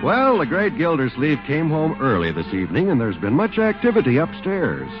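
A man's voice: on the high side, wildly swooping pitch, normal speed. A man announcing in a polished, theatrical 1940s radio style, reading from a script. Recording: dull old radio recording. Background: music.

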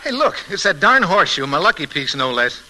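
A bright-voiced wisecracking radio husband. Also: male voice, a little high, big pitch swings, brisk, heavily acted. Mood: puzzled, breezy. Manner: breezy, joking delivery. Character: wisecracking, easygoing young husband moving into a city apartment. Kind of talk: 1940s radio mystery-comedy acting. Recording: noisy vintage radio broadcast recording.

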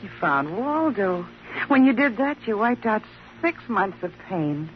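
A woman's voice: medium pitch, big pitch swings, unhurried. An actress playing a devoted, anxious American woman in an old radio drama, speaking earnestly and emotionally. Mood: grateful, pained. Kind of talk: 1950s radio drama acting. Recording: muffled, slightly noisy old radio recording.

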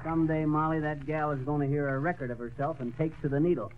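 A man's voice: fairly high pitch, fairly even intonation, quick pace, very noisy. A man wisecracking brashly as the lead of a 1930s radio comedy. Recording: dull 1930s radio recording.

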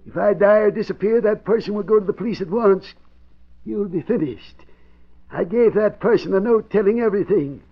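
High-voiced, stubborn old man, vintage radio. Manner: halting, drawn-out delivery with hesitations. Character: cunning, stubborn old man, nervous but defiant. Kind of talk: vintage radio crime drama acting. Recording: dull, band-limited vintage radio recording.

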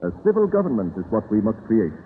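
An actor playing a grave, principled Pilgrim leader with a measured, formal manner in an old-time radio drama. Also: male voice, on the low side, big pitch swings, narrow, tinny audio. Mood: resolute. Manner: formal, measured, archaic phrasing. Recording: thin vintage radio recording, faint noise.

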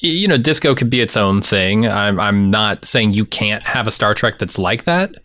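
A man's voice: on the low side, animated, normal speed, pushed and bright, no echo, studio quality. A man chatting casually as a podcast co-host. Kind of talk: casual chat.

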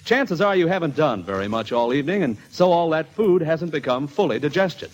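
A man's voice: a little high, lively intonation, fairly quick. A man announcing a scripted commercial in the style of 1940s radio advertising.

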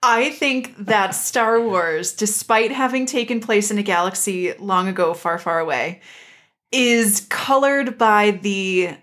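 A woman's voice: mid-range voice, takes it slowly, a bit bright. A woman co-hosting a podcast, chatting casually with an emphatic, playful and opinionated delivery. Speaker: podcast co-host. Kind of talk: casual opinionated chat about an animated series.

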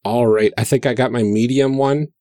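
A man's voice: mid-range voice, fairly even intonation, moderate pace, mellow, dry recording, studio quality. A man playing a tabletop game for the first time, talking hesitantly with many fillers as he builds his character. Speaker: player. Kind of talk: casual tabletop chat.

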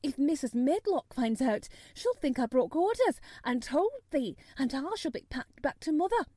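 A fretful young maidservant with a broad Yorkshire accent, as a woman reader puts her on, her voice pitched up high and loud with alarm. The tone stays warm and mellow, bouncing up and down, close and dry with no room sound. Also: big pitch swings, measured pace, no room sound, full character voice. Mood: agitated. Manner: fretful, exclaiming broad dialect. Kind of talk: audiobook character voice.

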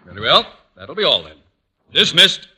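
An actor playing a pompous, scheming middle-aged school principal in an old radio comedy, officious and wordy. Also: male voice, a little high, sing-song, moderate pace. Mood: pompous, commanding. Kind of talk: 1940s-50s radio comedy acting. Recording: noisy old radio recording with clicks.

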